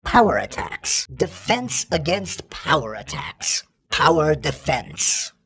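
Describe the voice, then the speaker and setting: An actor voicing an exacting combat training droid in a video game, formal and impassive, with a gravelly, husky robotic voice. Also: male voice, fairly high pitch, big pitch swings, takes it slowly, pushed and bright, exaggerated voice. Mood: formal, instructive. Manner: formal, impassive, precise, instructional. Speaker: combat instructor. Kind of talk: scripted video game tutorial lines.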